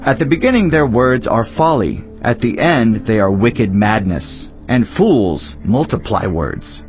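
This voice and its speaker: A solemn old-world sage of scripture acted by a man declaims in a measured, reflective way. The sound is slightly dull and lo-fi, with music playing underneath. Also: medium pitch, animated, natural voice. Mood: solemn, admonishing. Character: solemn male Teacher, wise biblical sage reflecting on life. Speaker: scripture reader. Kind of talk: dramatized scripture reading. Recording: dull lo-fi audio over music.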